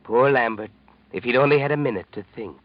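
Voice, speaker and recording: An American actor playing an ominous, knowing storyteller of murder tales in a 1940s radio mystery, theatrical in delivery. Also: male voice, mid-range voice, a little fast, lightly acted. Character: sinister omniscient male storyteller of murder tales. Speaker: mystery narrator. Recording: dull old 1940s radio recording, loud music.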